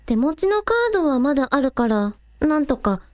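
A woman's voice: sits high, sing-song, normal speed. A woman playing a plucky, practical young village woman in an amateur radio drama, talking casually and matter-of-factly. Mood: pragmatic, resigned.

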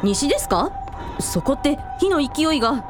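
Woman acting take-charge female shrine priest, high voice, brisk, caring, radio drama, music behind. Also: sing-song. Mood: concerned.

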